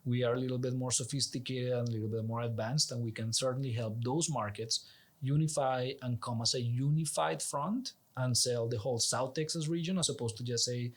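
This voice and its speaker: A man with a Colombian accent and Spanish as his first language speaks at a middling pitch. He explains things conversationally as a guest, earnest and persuasive, often checking in with the listener. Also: steady tone, a little fast. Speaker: interview guest, executive director.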